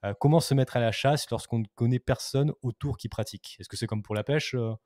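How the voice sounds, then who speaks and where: Fast-talking man hosting a live chat. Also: mid-range voice, warm tone, dry recording, studio quality. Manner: reads viewer questions aloud, laughs often. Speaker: host. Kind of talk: casual live-stream chat.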